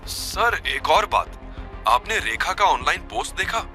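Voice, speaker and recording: A man plays a loyal male assistant in an audio story, a little higher than his own voice and noticeably quieter. He sounds careful and deferential, as if reporting in confidence. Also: very animated, a little slow, soft background music, exaggerated voice.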